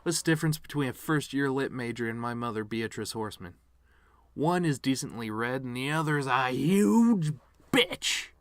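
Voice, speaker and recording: A man's voice: mid-range voice, animated, normal speed, no echo, close to an everyday voice. A man performing a dramatic monologue as a bitter, regretful actor giving his mother's eulogy, with sardonic, rambling asides. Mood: sardonic joking.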